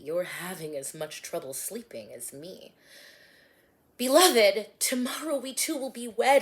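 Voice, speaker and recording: Mary, a woman voicing a fretful young bride. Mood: worried. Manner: high, rising, fretful. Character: anxious young pregnant bride. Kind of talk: rhyming storybook reading.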